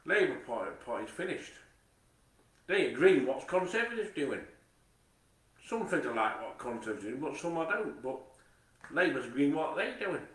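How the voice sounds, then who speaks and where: A man's voice: a little high, very animated, normal speed, pauses often, a bit bright, echoey room, far from the mic, poor audio. A man with a Nottingham accent, rambling in a monologue to camera, swearing constantly, repeating himself and stumbling over his words. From England. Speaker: vlogger.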